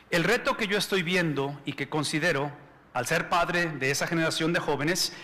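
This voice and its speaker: A man's voice: a little high, pushed and bright. A man in his forties preaching at a pastors' conference in a conversational way, often repeating and restarting words and putting questions to the audience. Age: 40s. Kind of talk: conference sermon. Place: large church hall. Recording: reverberant hall.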